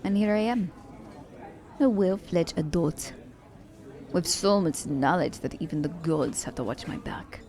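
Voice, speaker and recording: A woman playing a confident, selfish young assassin in an ASMR romance roleplay, speaking in a teasing, halting manner. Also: lowish voice, dark tone, some chatter behind. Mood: wry, candid.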